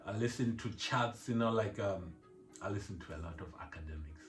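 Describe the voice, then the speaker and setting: A man from Zimbabwe talks loosely about music on his own channel, laughing often and dropping "man" into nearly every thought. His voice sits fairly low. The sound is roomy and echoey, with music clearly audible behind him. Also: brisk. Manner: laid-back, rambling, laughs often, says "man" a lot. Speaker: music vlog host. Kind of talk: casual chat.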